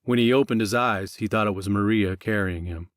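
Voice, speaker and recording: Low-pitched man narrating an audiobook, dry sound. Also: brisk, dry recording. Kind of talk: scripted fiction narration.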